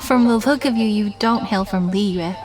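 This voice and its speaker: An actress playing a friendly, organised festival coordinator in a game, polite and gently amused. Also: female voice, mid-range voice, moderate pace, close to an everyday voice. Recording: distant voice over game music.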